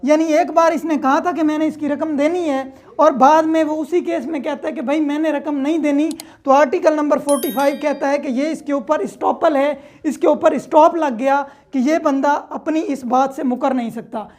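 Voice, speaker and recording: High-pitched Pakistani woman giving a lecture. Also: steady tone, normal speed, few pauses, darkish tone. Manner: didactic, repeats points for clarity, frequent check-in phrases. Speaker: law lecturer. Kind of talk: informal explanatory lecture with examples.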